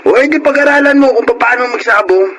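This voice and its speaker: A man's voice: very high voice, fast talker, fairly bright tone, slight background noise. A man acting as an easygoing, persuasive friend in a Filipino radio drama. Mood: coaxing. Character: easygoing, persuasive male drinking buddy.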